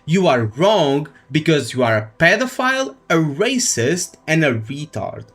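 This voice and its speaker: A man's voice: on the high side, big pitch swings, a little slow, fairly bright tone, slightly rough. A man chatting casually on a game livestream, giving opinions and repeating phrases as he explains his points. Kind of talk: casual livestream chat and commentary. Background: faint music.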